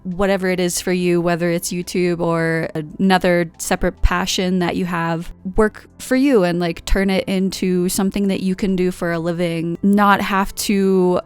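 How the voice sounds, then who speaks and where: Mid-twenties woman vlogging, kinda rambly and candid, smooth clear voice, soft music behind. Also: on the low side, little pitch movement, moderate pace, hardly any pauses. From United States. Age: 20s. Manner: rambling, candid, self-reflective, many fillers. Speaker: art vlogger. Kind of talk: casual vlog monologue.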